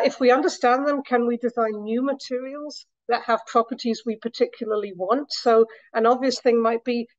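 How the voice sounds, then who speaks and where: A woman's voice: a little high, no room sound, dull audio. A woman professor of materials science giving a lecture in a room, her voice a little scratchy after a week of talking, explaining with frequent restarts. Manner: explanatory, self-deprecating, many restarts. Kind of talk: academic lecture.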